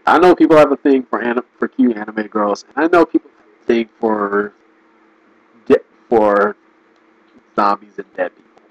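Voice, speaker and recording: A man giving casual, rambling commentary, restarting sentences and laughing often, his voice going hoarse. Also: mid-range voice, wildly swooping pitch, moderate pace, long pauses, no room sound, poor audio. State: losing his voice. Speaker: video commentator.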